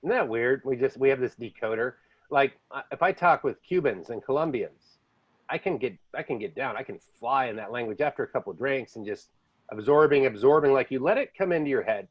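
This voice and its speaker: A man's voice: medium pitch, animated, fairly quick, no echo, muffled recording. A man hosting a podcast, telling rambling stories in a casual chat. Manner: rambling storyteller, jumps between languages. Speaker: podcast host. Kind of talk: casual conversation.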